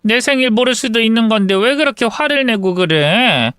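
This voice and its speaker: A man voicing an older woman, a soft-spoken, doting mother-in-law. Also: very high voice, animated, normal speed, clean tone, no room sound, studio quality, voice pushed up.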